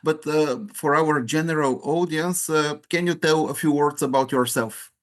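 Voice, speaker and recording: A man, a company head, asking interview questions in a halting way, full of fillers. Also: fairly high pitch.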